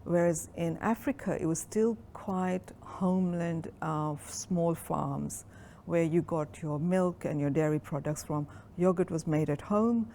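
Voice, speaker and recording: Low, gravelly-voiced woman in her sixties. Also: dark tone, a little hiss or hum. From Kenya. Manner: reflective, unhurried storytelling with frequent ums. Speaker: interviewee. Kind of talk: oral history interview.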